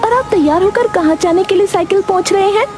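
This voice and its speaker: Inquisitive village priest's child, extremely high pitch, cartoon tale, clear music behind. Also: female voice, fast talker. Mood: curious. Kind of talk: animated moral-story dialogue.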